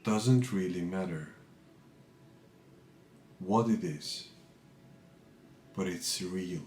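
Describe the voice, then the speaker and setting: Slow, low-voiced man guiding meditation. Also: reverberant, slight background noise. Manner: calm, measured, pausing between short phrases. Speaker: meditation teacher. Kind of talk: guided meditation, unscripted spiritual talk.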